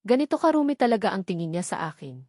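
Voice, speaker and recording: A Filipino woman narrates a dramatic story in the first person. Her voice sits at a middle pitch, recorded close and dry with no room sound. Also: very animated, quick pace, darkish tone, dry recording. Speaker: audiobook narrator.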